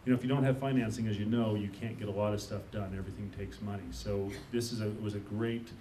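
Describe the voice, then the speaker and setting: A man talking on a panel in a conference room, his speech halting and full of fillers. His voice comes from a distance on the room mic, over a faint low rumble. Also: steady tone, warm tone. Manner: halting, many uh fillers and restarts. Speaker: panelist, business owner. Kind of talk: unscripted panel talk.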